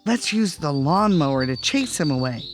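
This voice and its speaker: A narrator voicing a plucky, scheming little male chipmunk in a children's storybook, excitable and emphatic. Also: mid-range voice, normal speed, over music, lightly acted. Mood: insistent.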